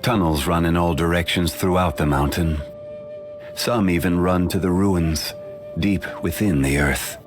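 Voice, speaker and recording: An actor voicing an ancient, dignified male sage for a game, in formal, measured, unhurried speech. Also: low-pitched, music in the background. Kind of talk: studio game voice lines.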